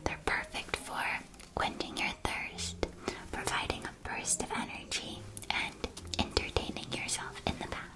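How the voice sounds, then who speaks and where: Casting: woman playing a friendly witch-world candy shopkeeper, ASMR roleplay, whispered throughout, gentle and very unhurried. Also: natural voice. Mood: gentle, inviting. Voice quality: soft, breathy whisper. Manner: gentle, unhurried sales patter. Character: friendly female shopkeeper in a magical candy shop.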